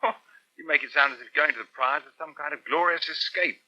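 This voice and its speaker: A man's voice: on the high side, fast talker, bright, forceful tone, lo-fi sound. An actor playing a proud, easily wounded English husband and father in a radio soap, with a clipped, fretful manner, on a poor old recording. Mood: resentful. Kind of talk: British radio soap acting.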